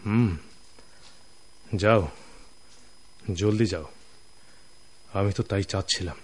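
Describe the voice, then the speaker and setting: A man with a Bangladeshi accent playing a scheming, smug senior company officer in a radio drama, sly and controlled with quiet menace. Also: on the low side, takes it slowly.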